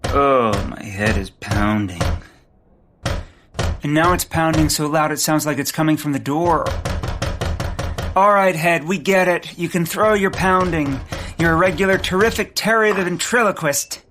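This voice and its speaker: A man's voice: fairly high pitch, a little slow, noisy background, close to an everyday voice. A man playing a naive, earnest, rambling rich birdwatcher in a comic audio drama. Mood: groggy. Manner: earnest, obliviously literal, rambling.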